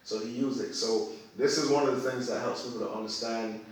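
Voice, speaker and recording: A man's voice: mid-range voice, fairly even intonation, brisk. Echoey man teaching emphatically. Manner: emphatic, repeats phrases for effect. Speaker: religious teacher, guest apostle. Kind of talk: teaching conversation. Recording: very echoey room, far from mic.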